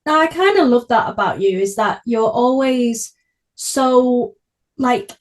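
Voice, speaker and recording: Female podcast host, fairly high voice, informal conversational delivery. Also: expressive, takes it slowly. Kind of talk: casual conversational interviewing.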